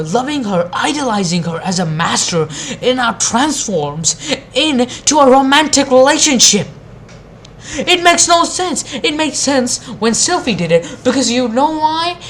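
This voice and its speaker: Animated, sweary woman ranting over background noise. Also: medium pitch. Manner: exasperated, sweary, emphatic. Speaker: anime review video creator. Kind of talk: opinionated ranting commentary.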